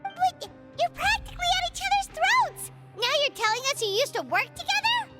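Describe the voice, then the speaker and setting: A woman voicing a small, childlike, excitable fairy companion in a video game, indignant and expressive. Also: extremely high pitch, normal speed, loud music behind the voice. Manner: exclamatory, expressive.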